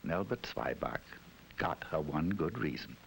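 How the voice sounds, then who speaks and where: A man's voice: rumbling low, animated, a little slow, gravelly, a little hiss or hum, low-quality recording. A man giving scripted 1960s educational film narration in a wry, mock-solemn storytelling manner. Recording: narration over loud music.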